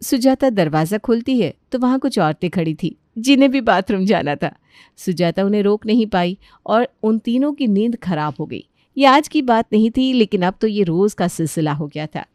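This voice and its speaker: A woman's voice: mid-range voice, animated, normal speed, darkish tone, no echo, studio quality. A woman giving scripted narration for a cartoon story.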